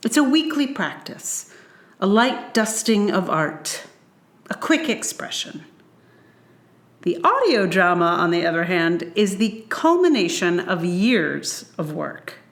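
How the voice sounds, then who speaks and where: A woman podcast host reading her own personal essay aloud with measured, deliberate phrasing. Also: on the low side, reverberant.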